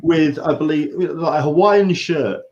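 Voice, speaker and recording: A man's voice: fairly high pitch, measured pace, darkish tone, lo-fi sound. Rambling English man hosting a chat. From England. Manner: rambling, anecdotal. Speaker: host interviewer. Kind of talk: casual livestream interview chat.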